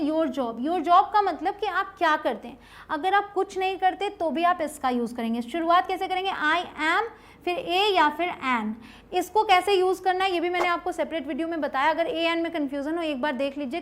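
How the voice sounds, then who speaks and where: A woman with an Indian accent teaching an online English lesson step by step, often checking understanding and slowing down to model example sentences. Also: high voice, a bit bright. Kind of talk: instructional lesson, explaining step by step.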